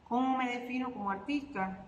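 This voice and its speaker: A woman's voice: on the high side, moderate pace, lo-fi sound. A Cuban woman, an artist and guitarist, answering interview questions reflectively with hesitant fillers and restarts. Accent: Cuban Spanish. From Cuba. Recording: echoey hall with faint ambient noise.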